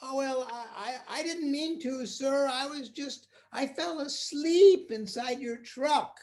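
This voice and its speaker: A man's voice: extremely high pitch, takes it slowly, pitched above the performer's own voice. A man voicing a frightened little boy who stammers anxiously. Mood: timid.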